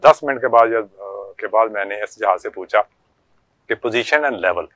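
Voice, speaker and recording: A man's voice: fairly low pitch, measured pace, fairly bright tone, no echo. An older man with a Pakistani accent telling stories from his working life as an interview guest, in a conversational way with reported dialogue. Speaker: guest, former air traffic controller.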